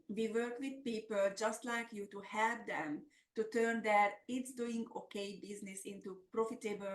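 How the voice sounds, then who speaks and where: A middle-aged woman with a Hungarian accent, presenting a semi-scripted business webinar as a coach. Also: medium pitch. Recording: roomy, echoey sound.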